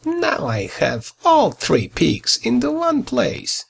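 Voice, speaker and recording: A man voicing a boastful, sly, greedy big bad wolf in a storybook tale, raspy and theatrical. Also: very high voice, huge pitch swings, slow, pitched above the performer's own voice, heavily acted. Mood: gloating. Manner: scheming, theatrical.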